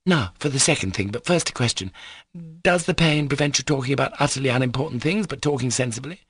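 A man reading an audiobook, voicing an imperious, excitable teenage girl who is bossy, urgent and exclamatory. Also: medium pitch, quick pace, breathy edge, no echo, voice pushed up. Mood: bossy, scolding.

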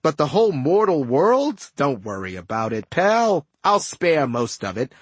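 A man's voice: fairly high pitch, big pitch swings, measured pace, husky, dry recording, exaggerated voice. Man putting on a cocky, wisecracking ghost villain. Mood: smug, gloating. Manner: breezy, taunting slangy talk.

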